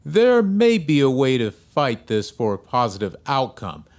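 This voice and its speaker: Measured lawyer, lively pitch, businesslike, music behind. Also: male voice. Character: calm lawyer advising a couple.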